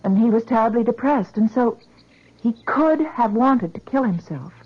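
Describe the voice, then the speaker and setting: Husky, concerned woman in radio drama. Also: fairly high pitch, expressive, moderate pace. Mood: gentle, careful. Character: concerned, reasoning woman, gently persuasive friend. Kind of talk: 1970s radio drama acting. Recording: dull vintage radio recording.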